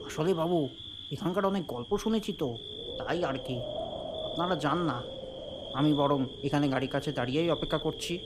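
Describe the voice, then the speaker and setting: An actor playing a timid, rambling family driver with a hesitant, deferential manner in a comic audio drama. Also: male voice, a little high, normal speed, pauses often, background noise, lightly acted. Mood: nervous, evasive.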